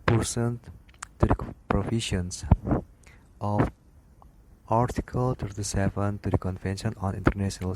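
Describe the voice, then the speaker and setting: Halting low-voiced man reading a lecture, noisy recording. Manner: halting, word-by-word reading with stumbles. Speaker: aviation lecturer. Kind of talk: read-aloud slide lecture. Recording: clearly noisy background, clicks.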